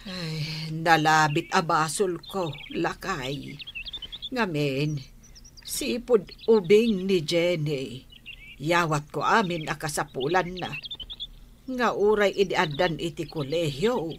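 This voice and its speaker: Radio drama role: worried older Ilocano mum, plaintive and very unhurried, faint birdsong behind her. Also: low voice. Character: doting, worried older mother of grown daughter. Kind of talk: Filipino radio drama acting. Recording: background noise with birdsong. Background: birds chirping.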